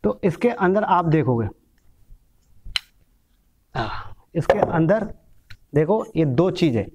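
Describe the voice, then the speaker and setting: A man's voice: a little high, very animated, takes it slowly, background noise. A man in his thirties teaching bike mechanics, explaining step by step while handling engine parts in a small room. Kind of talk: hands-on workshop lesson. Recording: dull lo-fi sound.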